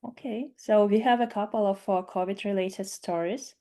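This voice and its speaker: A woman's voice: medium pitch, steady tone, normal speed, warm tone. A woman moderating an online panel, brief and businesslike. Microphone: video call.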